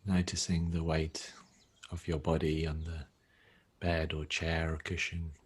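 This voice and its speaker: Deep, husky man guiding a meditation. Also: level tone. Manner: gentle, unhurried, with long pauses. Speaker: meditation teacher. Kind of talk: guided meditation.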